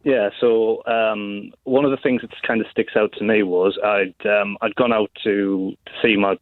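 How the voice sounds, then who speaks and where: A man's voice: fairly low pitch, fairly even intonation, moderate pace, no room sound. Casual male caller on a tinny phone line. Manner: casual, hesitant, with fillers. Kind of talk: phone-in radio chat.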